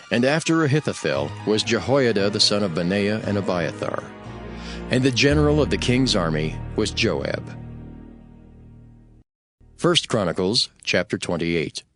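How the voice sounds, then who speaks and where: A male narrator reads scripture in a lowish voice. His phrasing is formal and deliberate, as in a scripted audio Bible. Faint music runs underneath. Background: soft background music.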